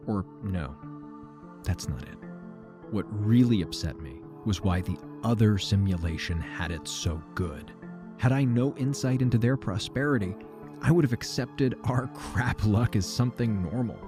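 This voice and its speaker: Fiction podcast narrator: weary, regretful older man, deep voice, measured dramatic phrasing, clear music bed. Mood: anguished, rueful. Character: weary, regretful aging man recounting his troubled past.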